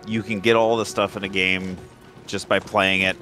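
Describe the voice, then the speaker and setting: A man's voice: sits low, level tone, moderate pace, sharp tone. A man playing co-op games and chatting casually as he plays. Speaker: co-op gamer. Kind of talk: casual chat while gaming. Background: faint game music.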